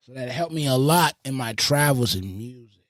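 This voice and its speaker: A man from the Bronx and Fayetteville, a radio host and producer, telling animated stories on a podcast and swearing freely. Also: medium pitch, normal speed, no room sound, clean studio sound. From Bronx, New York and Fayetteville, North Carolina. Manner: animated storyteller with a lot of swearing. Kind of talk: casual podcast storytelling.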